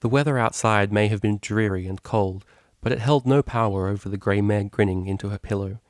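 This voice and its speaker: A man's voice: lowish voice, brisk, dry recording, clean studio sound. A man reading fan fiction aloud as scripted story narration.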